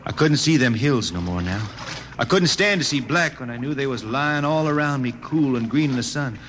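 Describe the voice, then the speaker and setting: A man's voice: medium pitch, animated, moderate pace, a bit bright, close to an everyday voice. An actor playing a blind, wistful drifting spaceman balladeer with a rural Ozarks accent in a 1950s radio drama. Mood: homesick, sorrowful. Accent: rural Ozarks American. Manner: folksy, wistful. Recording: noisy old 1950s radio broadcast.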